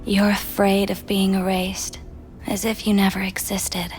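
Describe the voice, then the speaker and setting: A woman playing a soft, probing, hypnotic pleasure-doll companion in a video game, speaking intimately and often in a whisper. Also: mid-range voice, monotone, unhurried, faint music behind, natural voice.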